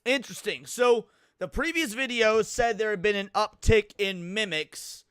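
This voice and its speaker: A man giving casual, slangy live reaction commentary as a streamer, excitable and prone to swearing. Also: sits high, normal speed, smooth, dry recording, studio quality. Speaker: streamer reacting to horror videos.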